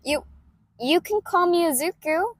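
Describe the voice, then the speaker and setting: A woman voicing a shy, hesitant young boy with quiet resolve in an audiobook, speaking haltingly and earnestly. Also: very high-pitched, animated, voice pushed up. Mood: shyly earnest. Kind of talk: audiobook character voice.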